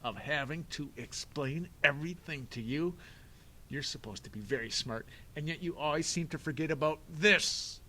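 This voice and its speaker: A man voicing a sneering, scheming villain who talks with mock politeness and drawn-out, theatrical menace. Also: on the high side, sharp tone, slight background noise, voice pushed up. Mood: menacing.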